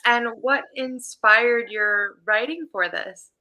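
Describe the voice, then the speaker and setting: Bright-voiced woman festival host. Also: on the high side, slow pace, rough recording. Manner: polished, scripted welcome. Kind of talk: hosted interview.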